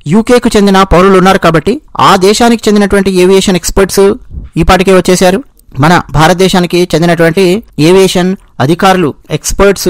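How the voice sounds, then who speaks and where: A man's voice: high voice, dry recording. A man with a Telugu accent giving explanatory news commentary, restating and rephrasing his points as he goes.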